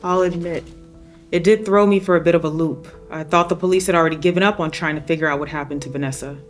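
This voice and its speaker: A woman's voice: lowish voice, fairly even intonation, fast talker, faint music behind, close to an everyday voice. A woman playing a seen-it-all, blunt woman in her mid-sixties at a script table read. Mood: wry, skeptical.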